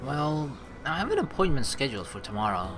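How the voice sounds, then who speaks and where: Animated young-sounding guy doing game playthrough. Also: medium pitch, a little fast, background noise. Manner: animated, joking, swears freely. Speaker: let's play streamer. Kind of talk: casual playthrough commentary mixed with reading narration aloud.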